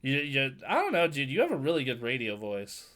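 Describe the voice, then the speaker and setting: A man co-hosting a gaming podcast, with a nasal but bassy voice, talking loosely, joking and swearing freely. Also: huge pitch swings, a little fast, a bit bright. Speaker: podcast co-host. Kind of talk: casual gaming chat. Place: home streaming setup.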